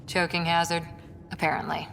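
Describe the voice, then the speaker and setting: Low-voiced, dry female soldier. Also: monotone, moderate pace, creaky, a bit bright. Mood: dry humor. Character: young female ex-commando soldier, dry and steady. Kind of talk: video game character dialogue. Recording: long reverb, faint rumbling background noise.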